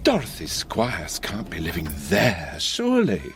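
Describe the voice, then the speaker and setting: A man's voice: on the low side, wildly swooping pitch, measured pace, a little hiss or hum, full character voice. A man playing a camp, fretful, complaining passenger in a radio comedy-drama, fussy and exasperated. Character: camp, fretful, complaining male travelling companion. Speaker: actor in a radio play.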